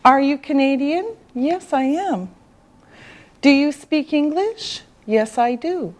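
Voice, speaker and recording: Very slow, high-voiced woman teacher. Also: animated. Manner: deliberate, carefully enunciated, instructional. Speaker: English teacher. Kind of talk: slow scripted beginner language lesson.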